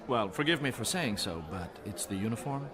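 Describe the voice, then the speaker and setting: An actor playing a wry, worldly, roguish businessman and gambler in an audio drama, smooth-talking and unhurried. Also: mid-range voice, expressive, lightly acted. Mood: wry, knowing. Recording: crowd murmur behind the voice.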